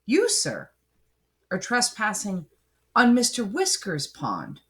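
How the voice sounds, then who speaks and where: A woman voicing a stern male sheriff in a storybook, in a measured, official manner. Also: medium pitch, huge pitch swings, fairly bright tone, full character voice.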